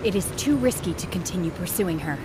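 A woman playing a reserved young female warrior in a video game, terse, calm and quietly sincere. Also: fairly low pitch. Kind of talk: scripted video game voice acting.

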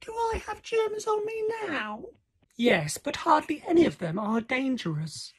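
Slow, high storybook character acted by a woman. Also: very animated. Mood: curious, reassuring. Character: cartoon children and grown-ups in a picture book. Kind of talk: children's storybook character voices.

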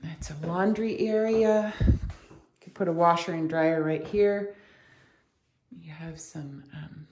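A woman's voice: lowish voice, slow pace. A woman giving a casual, unscripted house tour as a real estate agent, chatting and thinking aloud as she walks through the rooms. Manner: chatty, thinking aloud while walking around. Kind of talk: casual, unscripted walkthrough narration. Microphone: handheld camera or phone held by speaker. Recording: clearly noisy handheld walkthrough recording.

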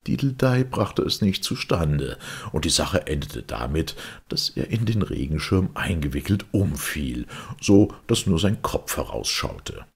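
A man's voice: extremely low pitch, very animated, unhurried, creaky, slightly rough. A man reading a scripted storybook narration as an audiobook reader.

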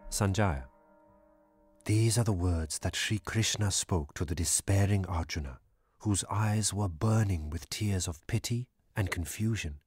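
Male narrator, solemn and measured, low husky voice, dry studio sound. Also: darkish tone, dry recording, soft background music. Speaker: audiobook narrator. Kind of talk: scripted narration of scripture.

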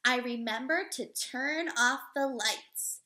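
A woman in her thirties, a teacher reading a picture book aloud to young children in an expressive, instructive way. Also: sits high, slow pace. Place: living room at home, indoors.

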